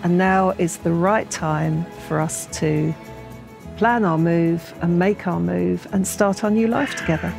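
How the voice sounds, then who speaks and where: A woman's voice: on the low side, a little slow, gravelly. Middle-aged female buyer, eager and upbeat, outdoor chat with music under. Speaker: house-hunting buyer. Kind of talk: casual chat. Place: outdoors by a beach. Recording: music bed under speech.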